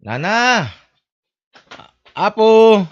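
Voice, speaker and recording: A man's voice: high-pitched, sing-song, drawn-out words, faint music behind, heavily acted. A man with a Filipino accent acting a deferential co-worker in an audio drama. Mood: polite, concerned. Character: deferential male co-worker calling at a family's house. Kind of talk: amateur Ilocano radio-style drama acting. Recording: dull lo-fi audio.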